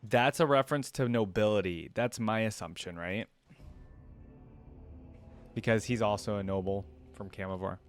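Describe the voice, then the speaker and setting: A man's voice: fairly low pitch, moderate pace, pauses often, soft background music. A man hosting a reaction video, talking casually and thinking aloud in slangy, conversational commentary.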